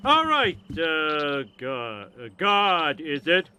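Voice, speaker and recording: An actor playing a dim, blustering, oafish middle-aged family dad in an animated video game comedy. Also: male voice, fairly high pitch, drawn-out words, background noise, full character voice.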